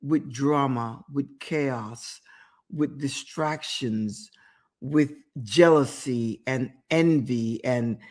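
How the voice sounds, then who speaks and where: A woman's voice: rumbling low, drawn-out words, a little mellow. A woman hosting a motivational talk show, retelling a story with emphatic repetition and speaking directly to the listener. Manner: emphatic, repeats words for effect, addresses listener directly; storytelling with quoted inner thoughts. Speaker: host.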